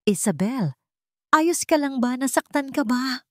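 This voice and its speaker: A woman plays a young billionaire man in a narrated romance drama, determined and protective. The delivery is commanding and urgent, with a Filipino accent, wide pitch movement and a dry recording. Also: lively intonation, measured pace, a bit bright, dry recording.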